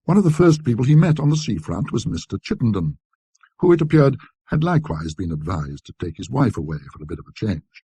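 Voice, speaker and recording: Low raspy guy reading an old ghost story, very expressive, measured and scholarly, dry close sound. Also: dry recording. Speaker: narrator of a classic ghost story. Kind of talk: dramatic scripted storytelling.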